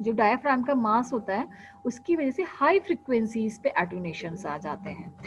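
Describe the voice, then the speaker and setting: A woman lecturer explains technical topics, posing questions and answering them herself and often checking in with students. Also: a little high. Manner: explanatory, asks and answers her own questions, frequent "ठीक है" checks. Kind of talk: classroom lecture mixing Hindi and English. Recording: dull lo-fi sound with faint hum.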